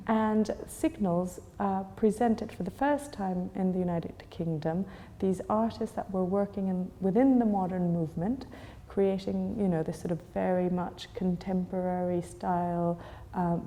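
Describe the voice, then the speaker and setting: Chilean-born woman with a mellow, low voice. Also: in a large hall. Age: 40s. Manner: thoughtful, with frequent fillers and restarts. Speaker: gallery owner. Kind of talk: conversational interview answers.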